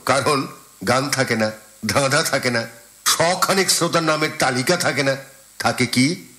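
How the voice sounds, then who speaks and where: A man's voice: on the high side, heavy background noise. A man with a Bangladeshi accent co-hosting a radio show, presenting and answering listeners' letters.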